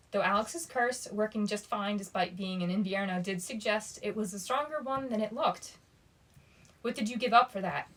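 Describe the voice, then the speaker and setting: A woman reading a young adult story aloud as expressive bedtime narration, at a medium pitch. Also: rough recording.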